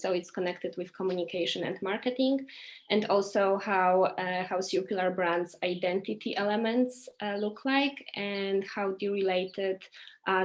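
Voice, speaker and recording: A woman from Poland, a master's student, introducing her thesis on a video call with many hesitant fillers. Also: medium pitch.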